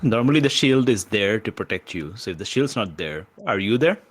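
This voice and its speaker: A man's voice: lowish voice, very animated, a little fast. A man chatting casually as a player in a tabletop game.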